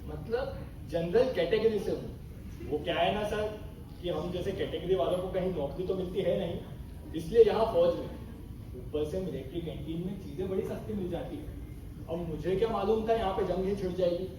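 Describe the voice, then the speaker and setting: A man's voice: sits high, normal speed, lightly acted. A young man on stage playing a cynical, rebellious army captain in Hindi, with argumentative, theatrical delivery. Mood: bitter, sarcastic. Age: young adult. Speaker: student stage actor. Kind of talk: Hindi stage play acting. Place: stage in an echoing hall. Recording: echoey hall, distant mic, noisy.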